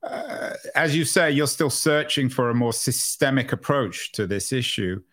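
Guy hosting an interview, mid pitched voice, probing, keeps qualifying what he says. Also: animated, unhurried, fairly bright tone. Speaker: podcast interviewer, host. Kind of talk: conversational interview questioning.

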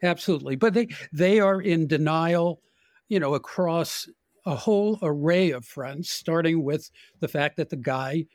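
An older man with an American accent giving opinionated, deliberate political commentary in conversation as a podcast guest. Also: on the high side, a little mellow, no room sound, clean studio sound.

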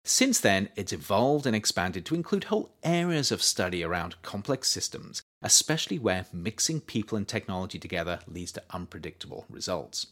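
A man reading his own nonfiction book aloud as an audiobook, in a measured, expressive way. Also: low voice. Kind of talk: audiobook narration.

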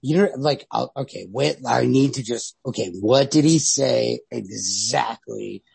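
A man co-hosts a casual literary discussion, talking in an animated, sweary way. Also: medium pitch, measured pace, vocal fry, husky, no echo. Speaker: podcast co-host.

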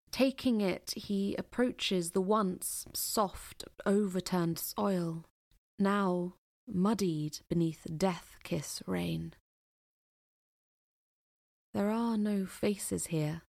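A woman narrating an audio play in a deliberate, poetic storytelling manner. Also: medium pitch, vocal fry, darkish tone.

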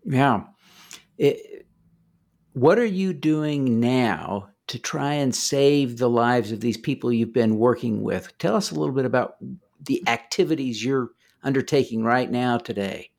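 A man interviewing a guest, asking his questions slowly and deliberately. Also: mid-range voice, vocal fry, darkish tone.

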